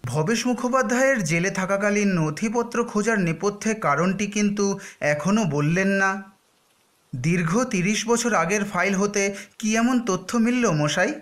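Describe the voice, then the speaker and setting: High-pitched inquiring policeman, played by a man. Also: moderate pace, fairly bright tone. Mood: curious. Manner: formal, questioning. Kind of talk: audio drama acting.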